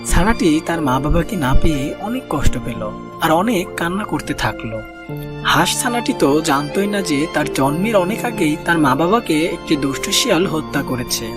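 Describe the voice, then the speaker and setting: A man narrating a children's cartoon story in a gentle storybook manner, speaking to young listeners as friends. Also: fairly high pitch, animated, moderate pace. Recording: voice-over with loud background music.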